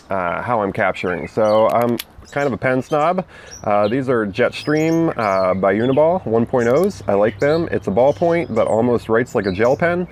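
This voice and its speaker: A man's voice: medium pitch, a little hiss or hum. A man hosting a weekly fundraising tip, talking casually outdoors with many fillers and birds singing nearby. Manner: relaxed and chatty, many "uh" and "you know" fillers.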